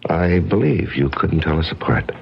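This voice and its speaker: An actor playing a streetwise, wary drifter in a 1970s radio drama, with a sardonic, slangy way of talking. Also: male voice, deep voice, animated, moderate pace, creaky, a little hiss or hum. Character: streetwise, wary, wisecracking male drifter drawn into crime. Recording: dull lo-fi old radio recording.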